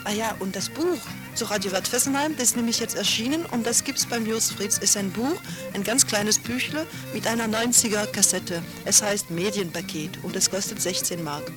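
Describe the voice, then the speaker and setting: Brief: woman pirate radio presenter, loose and chatty, music bed under her voice. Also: mid-range voice. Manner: relaxed, chatty, with fillers and self-corrections. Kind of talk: casual radio announcements.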